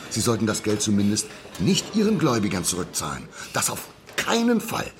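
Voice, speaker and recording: A man's voice: on the low side, wildly swooping pitch, fairly quick, noisy background. An actor playing a menacing, sarcastic tough man in a radio drama, with slangy speech. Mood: mocking. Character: menacing, sneering tough man issuing threats.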